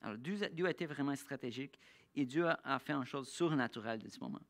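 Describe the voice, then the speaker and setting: A preacher giving a church sermon, pausing and restarting often to find the words, and slowing down to read scripture aloud. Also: medium pitch. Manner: halting, with frequent restarts and searching pauses. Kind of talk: sermon with Bible reading.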